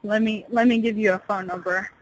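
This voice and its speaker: A woman's voice: mid-range voice, fairly even intonation, fairly quick, slight background noise. A woman working at a pet store, explaining things patiently and a little hesitantly on a customer service phone call. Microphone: telephone.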